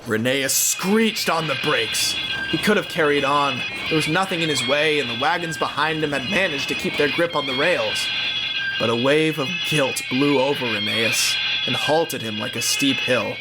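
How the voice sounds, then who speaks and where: A man's voice: medium pitch, normal speed, rough recording. A man narrating a scripted story in a suspenseful, theatrical way. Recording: music behind the voice.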